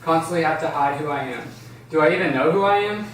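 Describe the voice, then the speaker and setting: A man's voice: a little high, quick pace, lightly acted. A man reading the part of an anxious, secretive teenage boy in an amateur drama table read. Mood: anxious, troubled. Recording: roomy, distant, faint noise.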